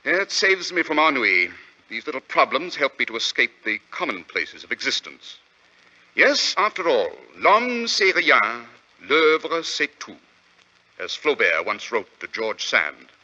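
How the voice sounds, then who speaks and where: A man acting a brilliant, self-assured detective in a 1940s radio drama, explaining his deductions in a crisp, theatrical manner. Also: mid-range voice, very animated, a little slow, bright, forceful tone, exaggerated voice. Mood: philosophical. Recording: dull, lo-fi vintage radio recording.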